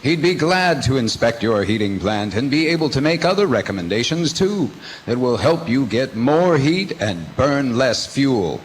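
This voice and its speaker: A man's voice: mid-range voice, big pitch swings, normal speed, long reverb tail, slight background noise, lightly acted, poor audio. A man as an earnest 1940s radio commercial announcer, speaking persuasively and instructively. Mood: earnest selling. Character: earnest male vintage radio pitchman.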